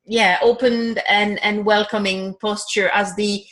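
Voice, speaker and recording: A woman's voice: medium pitch, little pitch movement, measured pace, fairly bright tone. A woman taking part in an online webinar, answering a question while searching for words and repeating herself, heard over a video call. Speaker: webinar participant.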